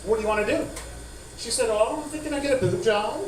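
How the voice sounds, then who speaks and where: A man's voice: very high voice, quick pace. A man performing stand-up, telling a story and acting out the dialogue. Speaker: stand-up comedian. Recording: echoey, noisy room, far from mic.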